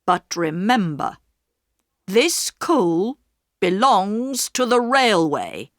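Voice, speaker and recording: A woman voicing a stern middle-aged male station master who speaks slowly and firmly. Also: mid-range voice, very animated, a bit bright, no echo, clean studio sound, exaggerated voice. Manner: slow, firm and scolding.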